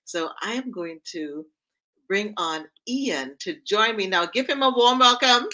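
A woman's voice: mid-range voice, lively intonation, unhurried, bright, forceful tone, rough recording. A middle-aged woman with a slight Trinidadian accent, hosting an interview show in an enthusiastic, encouraging way over a video call. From Trinidad and Tobago heritage. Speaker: talk show host and mental fitness coach. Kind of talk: warm upbeat interview hosting. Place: home room on a video call.

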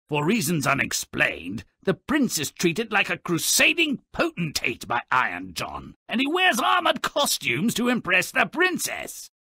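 An actor voicing a sardonic, gleefully morbid gnome-like troublemaker who tells fairy tales in a mocking, theatrical, gravelly way for a cartoon game. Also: male voice, mid-range voice, bright, forceful tone, no echo, clean studio sound, exaggerated voice. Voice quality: gravelly, raspy. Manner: theatrical, sarcastic, mocking storyteller. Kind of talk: scripted cartoon game narration.

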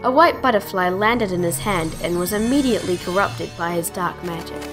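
A woman's voice: lowish voice, fairly quick, over music. A woman narrator reading a scripted children's adventure story in storybook style.

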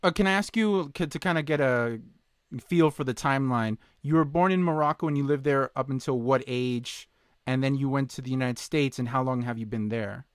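A man with a medium-pitched voice hosts a podcast interview. He talks casually and conversationally as he puts questions to his guest. The sound is dry, with no room echo. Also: a little fast, clear, resonant tone.

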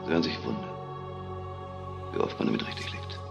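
An actor playing a smug, cynical, self-absorbed suspect in a German crime radio drama, talking with sardonic arrogance. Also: male voice, a bass voice, a little fast, creaky, over music, heavily acted. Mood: cynical, provoking.

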